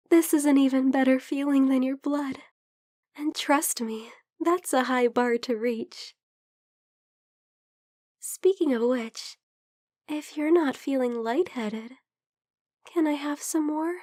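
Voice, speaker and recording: A woman playing a shy, lovestruck young female vampire in an intimate ASMR roleplay, speaking softly and hesitantly, often slipping into whispers. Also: high-pitched, slow, long pauses, no echo, clean studio sound. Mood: smitten. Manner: hesitant, soft, breathy murmuring that slips into whispers.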